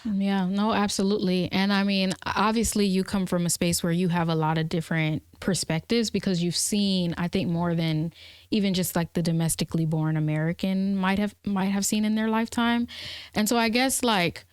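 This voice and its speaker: A woman's voice: mid-range voice, fairly even intonation, normal speed, smooth, no room sound, clean studio sound. A young woman hosting an interview, asking long chatty questions and affirming her guest often. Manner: chatty, encouraging, frequent affirmations. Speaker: podcast host, interviewer.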